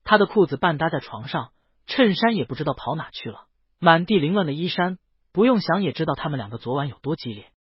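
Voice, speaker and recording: A man's voice: a little high, expressive, quick pace, husky, no room sound, dull audio. A man narrating a scripted story as an audiobook reader.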